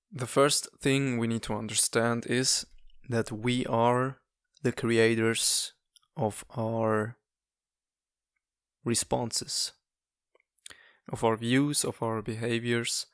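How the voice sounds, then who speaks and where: Swiss non-native podcast host, young man, slow and monotone, lots of hesitations, dry close sound. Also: medium pitch, clean tone, no echo. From Switzerland. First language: not English. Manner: halting, many fillers and restarts. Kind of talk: casual solo monologue.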